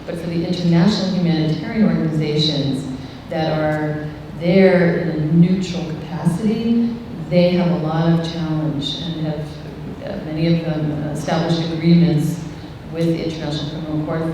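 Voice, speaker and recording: A woman gives a considered explanation on a panel in a heavily reverberant hall. Her low voice is caught from a distance, with careful, formal phrasing. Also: steady tone, moderate pace, mellow. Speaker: expert panelist from a humanitarian or rights organization. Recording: very echoey hall, distant mic, noisy rumble.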